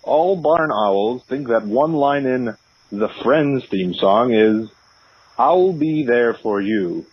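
Husky deadpan caller played by a man, lo-fi line. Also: mid-range voice, takes it slowly, no echo. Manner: deadpan, announcer-like recitation. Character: stubborn, deadpan male prank caller reciting absurd animal facts. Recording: dull, lo-fi phone-in line.

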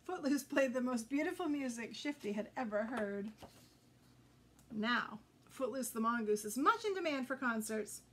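A middle-aged woman storyteller with a fairly high voice. She reads a children's book expressively and deliberately, recorded indoors with noticeable background noise. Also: lively intonation. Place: indoor room with green curtain backdrop.

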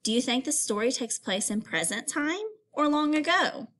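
Female primary teacher, voice a bit high, expressive and instructive read-aloud for kids. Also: moderate pace. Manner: expressive storytelling, instructive, addresses pupils with questions. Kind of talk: read-aloud lesson for children.

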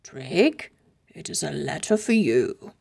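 Woman voicing a patient, slow old wizard. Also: low-pitched, sing-song, vocal fry, a little mellow, breathy edge, pitched below the performer's own voice, exaggerated voice. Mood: reassuring. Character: old male wizard teacher, patient and firm. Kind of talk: children's storybook character voice.

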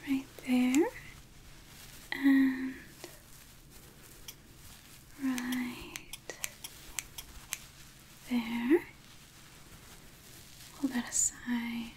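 A young woman playing a tender, devoted cult maid in an ASMR roleplay, speaking in a soothing breathy whisper. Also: sits high, flat delivery, a little hiss or hum, full character voice. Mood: gentle, reassuring. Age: 20s. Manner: slow, soothing, hushed. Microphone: close microphone.

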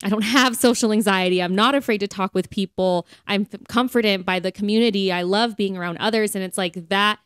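A woman's voice: mid-range voice, fairly even intonation, brisk, hardly any pauses, a bit bright, clean tone, dry recording, studio quality. A woman co-hosting a faith podcast, talking in a casual, earnest, conversational way peppered with "like". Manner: conversational, earnest, filler-heavy with frequent "like". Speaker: podcast co-host.

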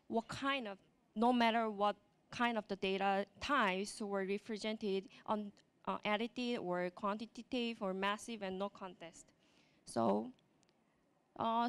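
A woman from South Korea with a Korean accent, speaking English as a second language in a conference talk on a large stage, pausing often with fillers and restarts into a handheld mic. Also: medium pitch, smooth. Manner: halting, many fillers and restarts.

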